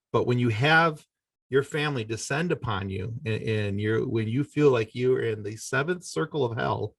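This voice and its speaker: A man's voice: on the low side, no room sound. A middle-aged man talking casually and informally as a guest in a podcast interview.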